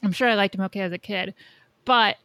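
A woman chats casually as a podcast co-host, blunt and opinionated. Her voice has plenty of vocal fry and a crisp, bright edge, recorded dry with no room sound. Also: medium pitch, steady tone, fast, dry recording. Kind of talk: casual chat.

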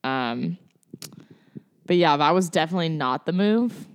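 A woman's voice: sits low, expressive, a little slow, clear, resonant tone. A young woman talking as a guest in a casual podcast chat, playful and self-mocking, telling stories with animation into an inexpensive podcast microphone.

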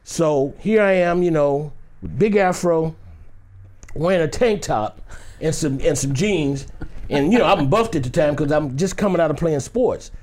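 A man with a high-pitched voice tells a story as an interview guest. He keeps it loose and chatty, with many "you know"s, and slips into the voices of the people in his tale. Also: lively intonation, moderate pace, darkish tone. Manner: acts out other people's lines in the story. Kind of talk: animated anecdotal storytelling.

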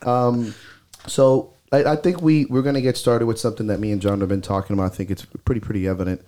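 A man's voice: mid-range voice, steady tone, quick pace, dark tone. A man co-hosting a podcast, talking bluntly and swearing freely, with a stuffy nose, recorded on a close mic. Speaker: podcast co-host. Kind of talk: casual podcast chat.

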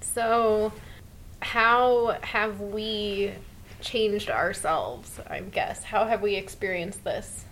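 A woman's voice: medium pitch, very slow, fairly bright tone, slight background noise, poor audio. A woman in her thirties co-hosting a casual podcast chat, talking earnestly and upbeat with a lot of "like". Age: 30s. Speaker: podcast co-host.

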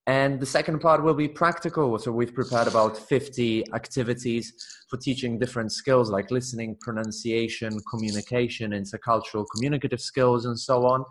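A man's voice: mid-range voice, fairly even intonation. Man explaining at length in an interview. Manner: explains at length, measured and earnest. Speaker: guest, teacher and author. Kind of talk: casual interview chat.

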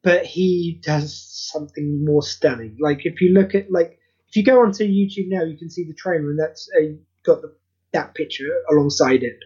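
A man's voice: fairly high pitch, moderate pace, a little mellow, breathy edge, dull audio. A man hosting a podcast, chatting casually and self-deprecatingly, rambling with many restarts. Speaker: podcast host and audio play creator.

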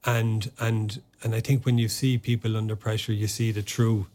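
A man's voice: fairly low pitch, flat delivery, normal speed, warm tone. An Irish man in his thirties, an army officer being interviewed, answering reflectively with frequent ums and restarts. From Ireland. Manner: hesitant, many restarts and ums.

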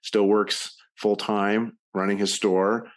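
Very deep-voiced middle-aged man. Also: flat delivery, takes it slowly. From United States (Ohio). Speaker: podcast guest, entrepreneur. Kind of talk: casual interview chat.

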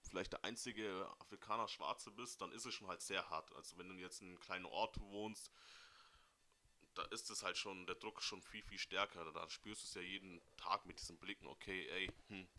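A man in a casual group discussion who explains his point calmly, step by step. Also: sits low, brisk.

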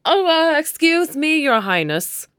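A woman's voice: sits high, very animated, a little slow, fairly bright tone, no room sound, studio quality, exaggerated voice. Blunt, sarcastic young Irish girl. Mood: sarcastic, grumbling. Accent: rural Irish. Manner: grumbling, teasing. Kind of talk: audio drama acting.

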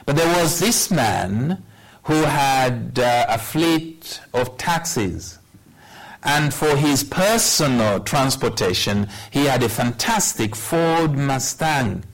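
A man in his sixties preaching a sermon, telling a personal story in a measured way with wry humor. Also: mid-range voice, animated, soft background music, low-quality recording. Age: 60s. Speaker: pastor.

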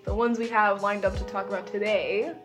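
A woman's voice: medium pitch, fairly even intonation, fairly quick, soft background music. A young adult woman chatting casually as a podcast guest, playful and giggly, swearing freely and chiming in agreement. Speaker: podcast guest, friend of the host. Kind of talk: casual chat.

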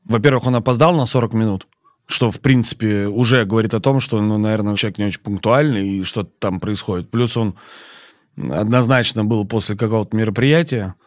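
Low-voiced man giving firm podcast answers. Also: a little fast, no room sound, clean studio sound. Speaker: chef and restaurant employer, podcast guest. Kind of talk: podcast interview talk. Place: podcast studio.

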